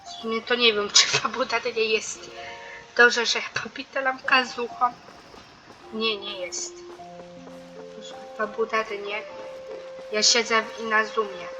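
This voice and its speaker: A woman's voice: on the high side, steady tone, moderate pace, long pauses, over music, low-quality recording. A woman hosting a gaming video, giving casual let's-play commentary in short offhand reactions.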